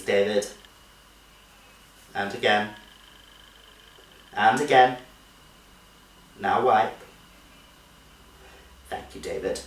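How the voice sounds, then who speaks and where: A man performing a comic stage monologue as a genteel female nursery teacher, politely coaxing and scolding with strained cheer. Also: on the low side. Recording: echoey room, distant microphone.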